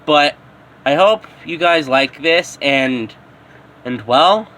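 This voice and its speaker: A man's voice: fairly high pitch, expressive, slow pace, bright, forceful tone. A man narrating improvised fan fiction, stumbling and repeating words as he goes. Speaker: storyteller.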